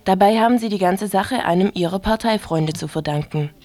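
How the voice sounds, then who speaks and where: Mid-pitched female radio newsreader. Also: brisk, a little mellow. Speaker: radio news presenter. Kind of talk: scripted news reading.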